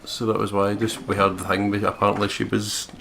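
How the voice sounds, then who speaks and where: A man's voice: on the low side, completely flat delivery, a little fast, creaky, a bit bright, slight background noise. A man with a Scottish accent, doing casual gaming commentary and reading out game narration. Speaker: let's play commentator.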